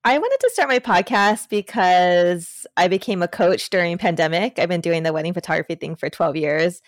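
A woman's voice: fairly low pitch, expressive, moderate pace, dry recording, studio quality. A woman in her thirties hosting a podcast over a call, gushing and casual. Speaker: podcast host, coach. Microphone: remote call.

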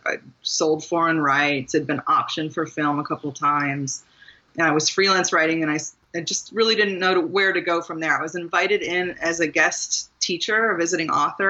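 Brief: female author guest, bright and forceful, rambling interview answers with frequent laughs, muffled lo-fi audio. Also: sits low. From Maryland, USA. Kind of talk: casual interview talk.

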